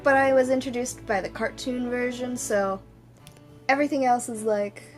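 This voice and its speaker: Higher-voiced young woman chatting over music. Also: normal speed. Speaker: vlog co-host. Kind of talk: casual chat, short interjections. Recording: music playing behind the voice. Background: background music.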